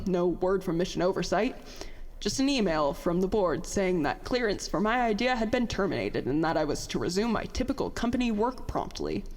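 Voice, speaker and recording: A woman playing a bitter, worn-out young inventor in a confessional audio drama monologue, halting, self-deprecating and emotional. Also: medium pitch, darkish tone. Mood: weary, resigned. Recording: long hall-like reverb on voice.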